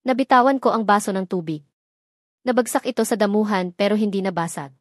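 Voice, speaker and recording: A woman's voice: mid-range voice, fairly quick, dry recording, clean studio sound. A woman with a Filipino accent reading a scripted, dramatic first-person story as narrator.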